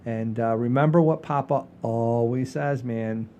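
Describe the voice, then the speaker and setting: Mellow man rambling casually, rumbly noise behind. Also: measured pace. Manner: rambling, repeats phrases. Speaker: comedy show co-host. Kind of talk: casual chat, addressing the audience. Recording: low rumbling background noise.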